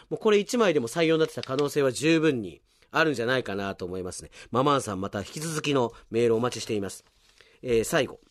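Male radio host, very lively intonation, chatty reader of listener mail, close dry studio sound. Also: fairly high pitch, no echo. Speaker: radio show host and announcer. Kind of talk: reading out listener submissions with casual commentary.

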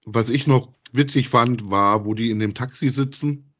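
A man's voice: medium pitch, normal speed, a bit bright. A man chatting casually as he co-hosts a review of audio dramas.

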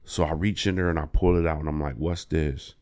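A man's voice: very deep voice, fairly quick. A man who is a comedian guest, telling exaggerated stories casually with slang and swearing. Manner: profane, slangy, animated joking with exaggeration. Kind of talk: casual podcast storytelling.

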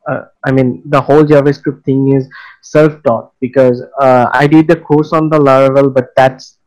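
A man's voice: mid-range voice, level tone, normal speed, darkish tone, poor audio. A young adult man with a Bangladeshi accent, answering casually as an interview guest. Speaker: interview guest, freelance web developer.

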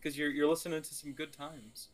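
A man's voice: a little high, steady tone, brisk. A man co-hosting a podcast in casual, joking banter with a playfully teasing manner. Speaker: podcast co-host.

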